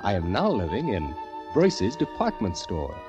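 A florid, penniless poet narrating his diary in vintage radio drama. His lowish voice swoops widely, over clear music and through dull old broadcast sound. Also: huge pitch swings, moderate pace. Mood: secretive, pleased. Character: penniless, dreamy, theatrical poet in hiding. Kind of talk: 1940s radio acting, diary monologue. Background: prominent music.

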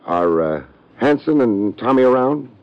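A man's voice: medium pitch, sing-song, unhurried. An actor playing a dry, wisecracking private detective who narrates his own case in an old radio drama, on a muffled old recording. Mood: wry. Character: wisecracking hard-boiled male private detective. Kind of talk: 1940s radio drama acting.

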